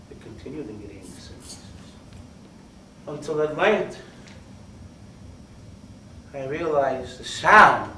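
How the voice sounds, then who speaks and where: A man's voice: high-pitched, exaggerated voice. A man performing a theatrical horror monologue as a guilt-maddened murderer, melodramatic and intense. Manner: intense, melodramatic, swinging from hushed to shrieking. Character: guilt-maddened male murderer, feigning calm then frantic. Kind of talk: theatrical dramatic monologue of a classic horror tale. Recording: roomy, distant mic, faint noise.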